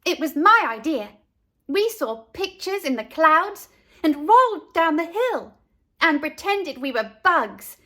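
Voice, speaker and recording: A woman voicing an excited little girl in a storybook, squealing and pleading to play. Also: high-pitched, slow, voice pushed up, heavily acted. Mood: gleeful.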